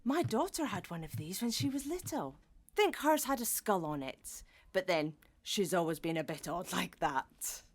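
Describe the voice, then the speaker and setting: A woman playing a chatty, motherly middle-aged housekeeper in a gently comic audio drama, with a bustling, colloquial, exclamatory manner. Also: lowish voice, very animated, normal speed. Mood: chatty, amused.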